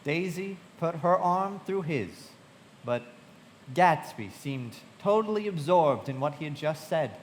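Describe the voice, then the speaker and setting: A man playing a frank young storyteller in a live radio play, heard in a long, noisy hall reverb. His voice sits a little high and moves in lively swings as he delivers literary narration and dialogue. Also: unhurried. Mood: reflective, descriptive. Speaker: narrator and actor. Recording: long hall reverb, clear background noise.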